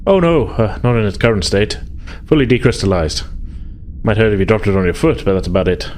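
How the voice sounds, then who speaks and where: A man's voice: lowish voice, a little fast, darkish tone, close to an everyday voice. Guy doing a breezy absent-minded engineer for a sci-fi game, lots of hmms, bit of background noise. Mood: musing, breezy. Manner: hesitant, musing, hemming and hawing.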